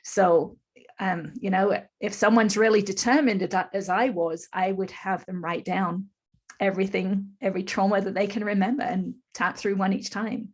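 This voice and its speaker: A woman in her fifties with a slightly husky, breathy voice speaks as a podcast guest. She tells her story in long, earnest, flowing runs and explains things patiently, at a middle pitch. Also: fairly even intonation, moderate pace. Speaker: podcast guest, spiritual teacher. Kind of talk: conversational storytelling interview.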